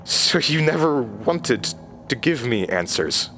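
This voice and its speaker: An actor playing a troubled, wary man demanding answers about his past, hesitant and halting, in a horror audio drama. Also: mid-range voice, big pitch swings, fairly bright tone, soft background music. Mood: wary, confused.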